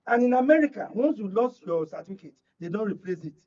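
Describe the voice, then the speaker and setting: High-voiced older Nigerian man, dull recording. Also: animated, quick pace. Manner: emphatic, explains with anecdotes and rhetorical questions. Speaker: political analyst and panel guest. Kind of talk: panel discussion on current affairs. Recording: dull, lo-fi broadcast audio.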